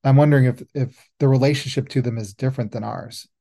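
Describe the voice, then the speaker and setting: A man's voice: mid-range voice, quick pace, creaky, dark tone. A man hosting a podcast, musing aloud in a rambling way with many fillers during a casual roundtable chat. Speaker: podcast host.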